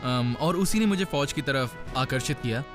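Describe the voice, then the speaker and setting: A man's voice: medium pitch, lively intonation, normal speed. Man recounting a story, music behind. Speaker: cadet. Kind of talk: interview.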